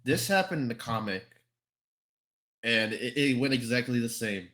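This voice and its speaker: A man explaining things in casual conversation, halting and often repeating his phrases as he goes. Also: mid-range voice, lively intonation, a bit bright. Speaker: podcast guest.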